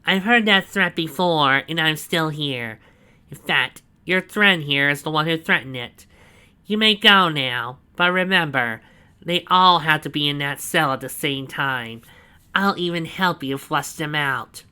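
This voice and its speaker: A man voicing a scheming male villain who gloats and drawls his words out slowly. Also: on the high side, pushed and bright, clear, resonant tone, voice pushed up. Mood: gloating.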